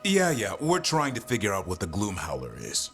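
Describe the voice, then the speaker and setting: An actor playing a blunt, impatient but dutiful monster hunter in a video game, speaking in terse, informal phrases. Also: male voice, lowish voice, wildly swooping pitch, moderate pace, creaky, a bit bright, full character voice. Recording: music behind the voice.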